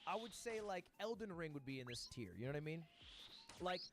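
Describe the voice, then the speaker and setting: High, lively sarcastic male YouTuber. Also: fairly quick. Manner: sarcastic, swears, jokey asides. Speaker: YouTuber reviewing games. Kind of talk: casual comedic video monologue. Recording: video played on stream with faint music bed.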